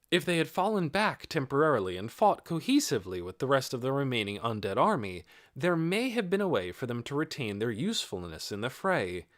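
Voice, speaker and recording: A man reading scripted fantasy narration as an audiobook narrator, expressive and dramatic, with measured, deliberate phrasing. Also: medium pitch, no echo, studio quality.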